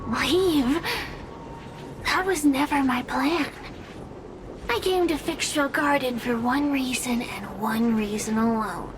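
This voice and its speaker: A woman's voice: sits high, background noise. A woman voicing a scheming, gloating female spirit villain in a video game, with a haughty, theatrical delivery. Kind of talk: scripted video game voice acting. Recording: voice under prominent music.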